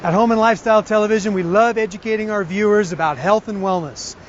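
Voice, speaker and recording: A man quickly and eagerly introducing a TV health segment. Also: high-pitched, muffled recording. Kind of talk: show introduction.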